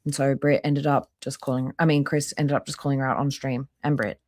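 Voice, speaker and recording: A woman with a low-pitched voice hosts a casual commentary stream. She talks through the details and reads out messages from chat. Her manner is disapproving, with a dry, sarcastic edge. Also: little pitch movement, a little fast, a little mellow.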